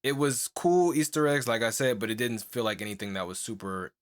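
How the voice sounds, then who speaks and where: A man's voice: mid-range voice, fairly quick. Rambling young man on a podcast. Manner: rambling, full of "like" and restarts, laughs often. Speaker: podcast co-host. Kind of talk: casual chat.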